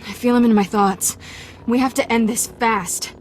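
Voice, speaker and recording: A woman's voice: on the high side, fairly even intonation, moderate pace, slight background noise, natural voice, low-quality recording. An actress as defiant young female Jedi. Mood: strained, defiant. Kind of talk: scripted video game acting.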